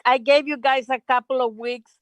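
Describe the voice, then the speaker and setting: A woman's voice: high voice, steady tone, normal speed, no echo. A woman with the flu calling into a live show, upbeat and eager for a reading. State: has the flu. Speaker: caller. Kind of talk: asking a question on a video call.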